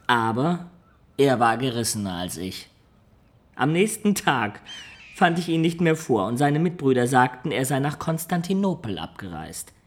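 A man's voice: mid-range voice, big pitch swings, moderate pace, close to an everyday voice. An actor playing a wily adventurer who confides his past like a storyteller in a radio drama. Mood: rueful.

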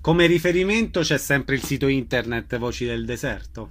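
Radio interviewer, male, mid-pitched voice, putting questions to a guest and giving announcements. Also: lively intonation, normal speed.

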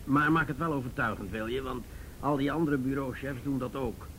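A man's voice: medium pitch, fairly quick, fairly bright tone, natural voice. An actor playing an older, jovial and patronising male police chief in a radio drama, hesitant and avuncular. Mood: placating. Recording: radio drama with faint background noise.

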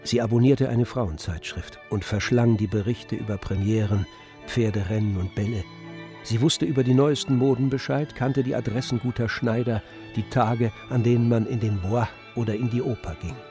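A man's voice: medium pitch, steady tone, normal speed, dark tone, faint music behind. A man reading scripted literary narration as the narrator of a radio drama.

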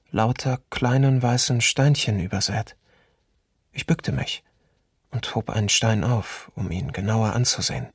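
An actor voicing a sombre man recalling his childhood, reading a literary memoir with measured restraint in a documentary radio play. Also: medium pitch, breathy edge, no echo, studio quality.

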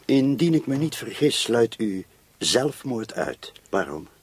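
An actor playing a persistent police commissioner in a radio play, questioning formally in a measured, husky way. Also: male voice, medium pitch, very animated, darkish tone. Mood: polite, probing.

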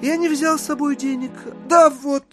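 An actor playing a polite, cautious gentleman schemer, ingratiating and evasive, in a Russian literary audio drama. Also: extremely high pitch, steady tone, moderate pace, over music. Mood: evasive, haggling.

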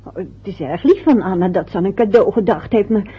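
A soft, fretful old mother. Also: mid-range voice, fairly quick, husky, dull audio. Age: older. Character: gentle, worried older mother. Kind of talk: radio play acting.

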